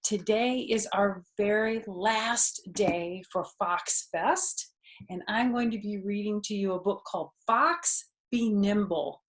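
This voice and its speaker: Slow-paced older woman reading to children. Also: medium pitch. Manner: simple explanations and questions for young listeners. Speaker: storytime host reading a picture book to children. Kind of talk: children's read-aloud and chat with listeners. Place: outdoors on a back porch. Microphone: computer or device on a video call.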